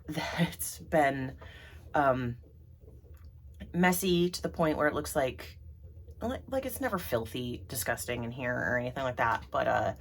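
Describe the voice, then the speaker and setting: Low-voiced woman vlogger, stuffy and throaty from allergies, loose rambling delivery. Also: a bit bright, a little hiss or hum. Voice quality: throaty, froggy. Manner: rambly, many ums and restarts. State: congested from allergies. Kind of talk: rambling casual vlog.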